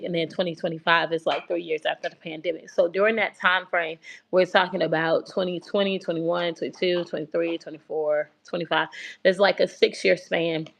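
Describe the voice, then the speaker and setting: A woman with a soft, childlike baby voice chatting casually to viewers on a livestream she hosts. She rambles, repeats herself and talks about her faith. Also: fairly low pitch, creaky. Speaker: host of a relocation livestream. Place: home abroad in Kenya. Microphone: phone livestream.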